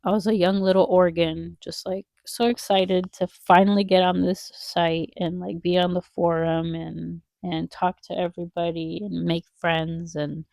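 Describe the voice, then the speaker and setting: A young woman with a stuffy, congested nose talks through a casual drawing vlog. Her voice sits low and mellow, and she rambles in a wistful tone with plenty of ums and likes. The sound is close and dry, with no room echo. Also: flat delivery, a little slow, gravelly, few pauses. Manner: rambling, wistful, heavy on fillers. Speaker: vlogger. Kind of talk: casual chat while drawing.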